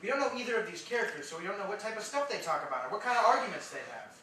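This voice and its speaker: Man acting a fussy, fast-talking writer, echoey stage. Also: high voice, sing-song, pushed and bright, full character voice. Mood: doubtful. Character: fussy, cautious young male writer, pedantic and doubtful. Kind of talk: staged reading of a comic play. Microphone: distant recording of the stage. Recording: echoey theatre, distant mic, background noise.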